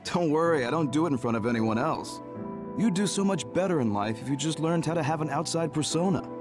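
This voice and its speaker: A friendly, considerate game officer, acted by a man, with a very animated mid-range voice. He teases gently as he talks. Music is clearly audible behind him. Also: fairly high pitch, brisk, lightly acted. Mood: amused. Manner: gently teasing. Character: friendly, teasing, considerate male military officer. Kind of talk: video game voice acting.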